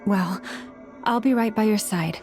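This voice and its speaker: Game casting: an actress as gentle young priestess, solemn and earnest, medium-pitched, soft measured delivery. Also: female voice, soft background music, natural voice. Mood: hesitant, caring. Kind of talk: video game voice acting.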